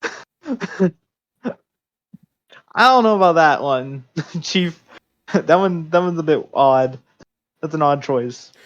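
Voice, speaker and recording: Slightly muffled, higher-voiced man joking around. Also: animated, slow pace, long pauses, fairly bright tone. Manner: joking, teasing. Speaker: podcast host. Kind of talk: casual chat. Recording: slightly dull, lo-fi voice audio.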